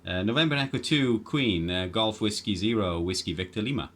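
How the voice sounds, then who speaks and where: Male amateur radio operator, low pitch, loose conversational delivery, hesitant and rambling. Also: brisk, noisy background. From Wales. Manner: rambling, hesitant, repeats words. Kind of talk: casual ham radio chat.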